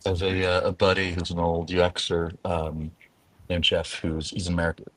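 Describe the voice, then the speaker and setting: A man chatting as a livestream guest over a video call, relaxed and easygoing. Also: low-pitched, moderate pace, dry recording, recorded from a distance. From New York area. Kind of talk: casual conversation.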